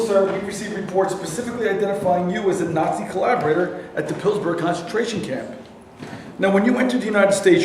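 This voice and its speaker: A man's voice: sits high, natural voice. A man acting the part of a stern, probing official investigator in a staged reading of a play. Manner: official, questioning. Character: probing, stern male war-crimes investigator. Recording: very echoey room, distant mic, light noise.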